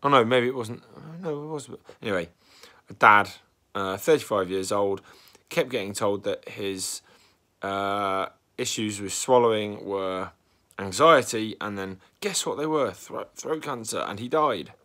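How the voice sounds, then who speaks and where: A young man talking anxiously in a casual vlog, congested and a little raspy from a cold, clearing his throat often. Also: fairly low pitch, measured pace, pushed and bright. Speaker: vlogger.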